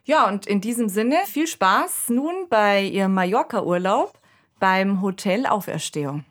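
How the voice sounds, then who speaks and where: A woman co-hosting a podcast, talking in a measured, considered conversational style. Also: medium pitch, lively intonation. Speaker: podcast host.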